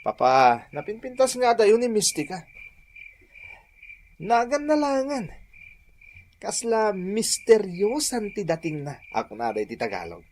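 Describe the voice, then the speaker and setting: A lovestruck young gentleman with an Ilocano Filipino accent, acted by a man. His voice sits high and moves up and down a lot as he plays the eager, devoted son in radio comedy. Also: very animated, unhurried, pauses often, slight background noise. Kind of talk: radio comedy drama acting.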